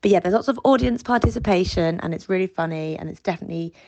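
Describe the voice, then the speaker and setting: Low, creaky-voiced British woman telling anecdotes. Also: fast, dark tone, no room sound. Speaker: guest contributor.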